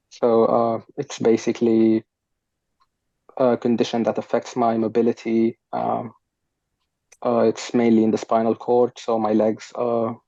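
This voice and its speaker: A man's voice: medium pitch, creaky, warm tone, no echo. A young man from Sudan, a podcast guest, answering thoughtfully and a little hesitantly with many fillers, speaking over a headset on a video call from home. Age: young adult. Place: home room, sitting on a sofa. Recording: dull lo-fi video call audio.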